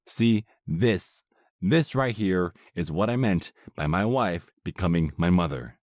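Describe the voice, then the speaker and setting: Man acting deep-voiced, sarcastic young vampire husband. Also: animated, measured pace, darkish tone, no room sound, clean studio sound. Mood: indignant. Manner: sarcastic, complaining. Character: exasperated, sarcastic male vampire husband, centuries old, looks twenties. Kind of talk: comedic audio roleplay acting.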